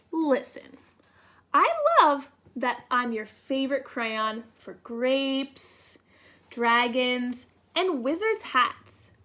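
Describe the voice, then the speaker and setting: A woman's voice: high-pitched, bright, forceful tone, heavily acted. A woman voicing a fussy, exasperated, neat-freak purple crayon in a children's picture book, indignant and emphatic.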